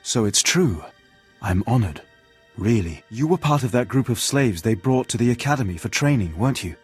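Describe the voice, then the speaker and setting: A man playing a courteous, flattering Sith bodyguard in a video game, with a formal, smooth-talking manner. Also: mid-range voice, moderate pace, soft background music. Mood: admiring, flirtatious. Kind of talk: scripted video game dialogue.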